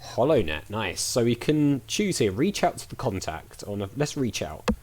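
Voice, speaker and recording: A man's voice: mid-range voice, very animated, moderate pace, darkish tone. A man giving casual let's play commentary, thinking aloud in a chatty way as he plays.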